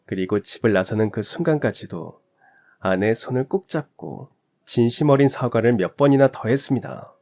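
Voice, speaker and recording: A man narrating a first-person family story in a calm, even storytelling manner. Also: mid-range voice, normal speed.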